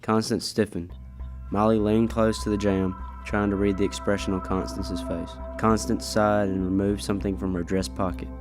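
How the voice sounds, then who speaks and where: A man's voice: lowish voice, level tone, normal speed, a little mellow, soft background music, natural voice. A teenage boy reading scripted storybook narration in an amateur school radio play. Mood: measured. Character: measured storybook narrator of a gothic tale.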